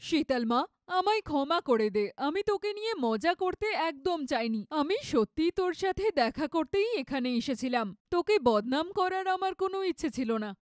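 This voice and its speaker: A woman's voice: high-pitched, animated, fairly quick, dry recording, studio quality. A woman playing a boastful, condescending, middle-aged rich mother-in-law in a cartoon story, with a showy, theatrical way of talking. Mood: pleading.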